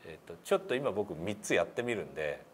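A man's voice: low-pitched, very animated, fairly quick. A man giving a hands-on pronunciation lesson as instructor, in a polite, encouraging way. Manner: polite, encouraging, often chuckling. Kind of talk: hands-on lesson, demonstrating single sounds. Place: meeting room indoors. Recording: clear background room noise.

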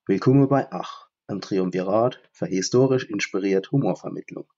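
A man's voice: sits low, moderate pace, dark tone, highs cut off. A man reading a podcast intro in a Palatinate accent with rolled R sounds. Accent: Palatinate German. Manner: rolled R, recited delivery.

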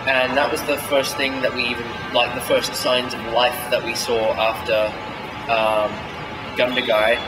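A man's voice: medium pitch, recorded from a distance, rough recording. A young man in his twenties vlogging a road trip, chatting in a rambling, wry and exasperated way, full of fillers, with a handheld camera at arm's length. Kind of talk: casual travel vlog. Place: outdoors, in a car and in a large empty eatery. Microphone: handheld camera held at arm's length.

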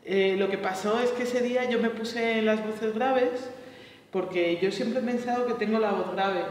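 A woman's voice: a little high, measured pace, darkish tone, slight background noise. A woman, leading a seminar and thinking aloud, hesitant, with frequent tag questions. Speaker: choir workshop leader. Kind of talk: reflective seminar talk. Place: large bright seminar room. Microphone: far camera mic. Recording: echoey room from far off.

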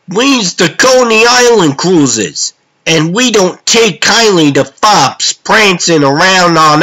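A man voicing tough, dim, menacing street-gang thugs with gruff slangy talk in a comic dub. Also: on the high side, pushed and bright, exaggerated voice, rough recording.

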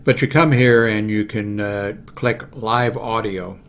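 An American man in his seventies giving a casual, unscripted computer walkthrough, haltingly thinking aloud. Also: lowish voice, steady tone. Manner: halting, thinking aloud while clicking through pages. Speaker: video blogger giving a how-to demonstration. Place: small room at home, indoors. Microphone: large desktop microphone close to the mouth.

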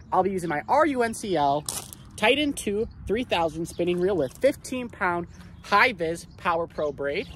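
A young man hosting a casual fishing vlog outdoors by a river, explaining his gear and cheering loudly when fish bite, recorded on a body-worn action camera. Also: sits high. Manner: excited outbursts when fish are hooked. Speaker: fishing video host. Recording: outdoor wind and river noise.

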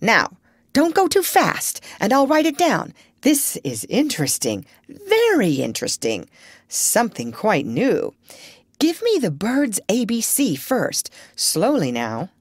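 A mild gentleman doctor from a children's storybook, read by a woman, honest and polite. His earnest curiosity comes out in very mobile, expressive pitch. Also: slow, husky, dry recording, clean studio sound, exaggerated voice. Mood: eager. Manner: polite, earnest, easily amazed. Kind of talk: children's storybook character reading.

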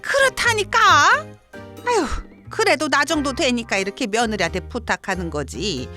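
A woman's voice: fairly high pitch, big pitch swings, normal speed, pushed and bright. A woman playing an entitled, sick older mother-in-law, groaning and nagging in a dramatized story video. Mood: demanding, irritated. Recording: music behind the voice.

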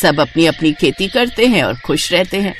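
A woman's voice: fairly low pitch, lively intonation, moderate pace, a little hiss or hum, natural voice. A woman voicing a homely older village mother in a cartoon story, bossy yet loving, chatty and emphatic.

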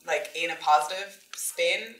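A woman speaks earnestly, her voice low. She sits a step back from the mic. The room echoes around her. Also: unhurried, fairly bright tone, a little hiss or hum. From Belfast. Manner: earnest, many "like" fillers. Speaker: interviewee. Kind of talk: casual discussion. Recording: roomy, a bit distant.

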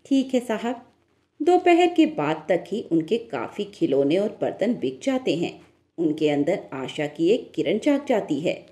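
A woman's voice: fairly low pitch, big pitch swings, unhurried, dark tone. A woman narrator telling a scripted moral story in a storytelling style.

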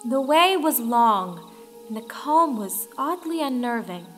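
High-voiced woman storyteller. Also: sing-song, takes it slowly, a bit bright. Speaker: narrator. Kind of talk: dramatic storybook narration. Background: faint music.

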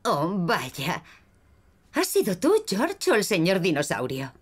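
A woman voicing a gentle, caring mummy pig in a children's cartoon, with a soothing motherly manner and a Castilian Spanish accent. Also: on the low side, wildly swooping pitch, slow pace, slightly rough, exaggerated voice. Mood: kindly.

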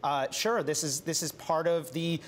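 A man's voice: on the high side, fairly even intonation, moderate pace. A young man reporter answering questions in a TV news studio, chatty and full of restarts and fillers.

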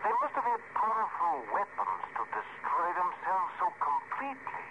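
Alien spaceman, male: measured, wistful, lively intonation, old radio drama with muffled sound. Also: sits high. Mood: wistful, regretful. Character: wistful, gentle alien spaceman heading home. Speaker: actor. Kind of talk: mid-century radio drama acting. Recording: very noisy, muffled vintage radio.

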